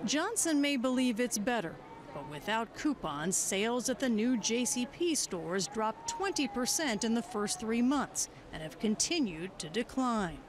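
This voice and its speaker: A woman's voice: on the high side, big pitch swings, measured pace, a crowd in the background. An American woman working as a news correspondent, reading scripted broadcast narration and putting questions in interviews.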